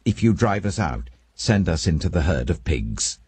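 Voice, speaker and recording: A tormented, violent demon, acted out by a man in a scripture audiobook. The British voice is strained and menacing, gravelly and husky, swinging widely in pitch. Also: big pitch swings, normal speed, darkish tone, dry recording, exaggerated voice. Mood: begging.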